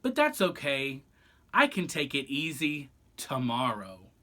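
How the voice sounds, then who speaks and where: Lazy, easygoing lady goose, played by a man, swooping pitch. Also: big pitch swings, a little slow, sharp tone. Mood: bright, carefree. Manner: breezy, unbothered, sighing and grumbling. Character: lazy, easygoing female goose who procrastinates. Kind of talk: picture-book read-aloud.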